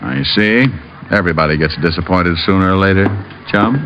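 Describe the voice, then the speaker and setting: An American actor playing a cool, wisecracking private detective in an old radio drama, dry, wry and casually menacing. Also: male voice, low-pitched, a little fast, background noise, close to an everyday voice. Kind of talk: 1940s-50s radio drama acting and narration. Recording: dull old radio recording.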